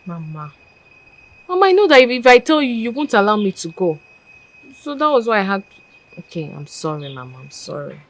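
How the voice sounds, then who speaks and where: A woman's voice: medium pitch, very animated, fairly quick, pauses often. A young woman acting in a film drama as a coy, respectful Nigerian daughter. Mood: apologetic. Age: young adult. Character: coy, respectful engaged daughter, reassuring her mother.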